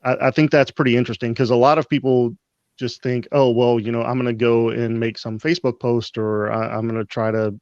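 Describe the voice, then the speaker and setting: A man's voice: medium pitch, brisk, no room sound. Man hosting on a dull dry line. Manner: relaxed, with fillers. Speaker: host. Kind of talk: casual interview.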